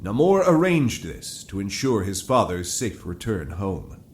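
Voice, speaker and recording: A man's voice: on the low side, very animated, a little slow, slight background noise. A man narrating a cinematic audio drama in a dramatic, scripted storytelling style.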